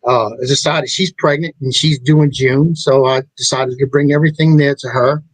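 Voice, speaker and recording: A middle-aged man hosting a talk show, speaking in a rambling, halting way with many restarts. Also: mid-range voice, fairly even intonation, dry recording, rough recording. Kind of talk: casual chat.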